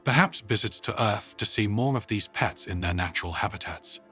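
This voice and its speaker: A man's voice: on the low side, normal speed, fairly bright tone, breathy edge, faint music behind. A man reading as an eager tentacled alien diplomat. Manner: formal, polite.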